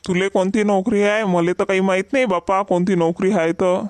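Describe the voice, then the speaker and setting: High-pitched grumbling village husband played by a man. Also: steady tone, a little fast, a little hiss or hum, natural voice. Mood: sarcastic. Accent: Vidarbha Marathi. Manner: complaining, sarcastic rustic banter. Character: exasperated, grumbling village husband with long-suffering temper. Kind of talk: comedy cartoon dialogue.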